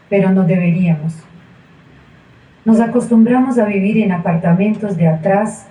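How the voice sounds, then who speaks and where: A woman's voice: mid-range voice, warm tone, lightly acted. A woman playing a weary, reflective character in a theatrical monologue on stage. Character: weary, reflective person lamenting numb routine. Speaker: stage actor. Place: small indoor theatre, filmed in wide shot. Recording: echoey room, distant mic.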